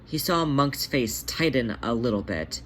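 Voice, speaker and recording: A man's voice: medium pitch, animated, a little slow, a bit bright, a little hiss or hum. A man hosting a fan fiction reading, narrating a scripted story aloud. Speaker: fan fiction author and podfic reader, host.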